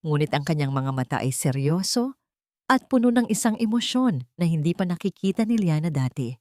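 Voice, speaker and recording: A woman narrating a scripted dramatic story in a sentimental, measured storytelling manner. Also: on the low side, very animated, darkish tone, no echo.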